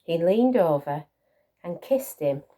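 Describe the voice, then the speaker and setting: A woman's voice: lowish voice, expressive, slow, warm tone. A woman reading a picture book to young children, with encouraging asides and questions to her listeners. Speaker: children's storyteller.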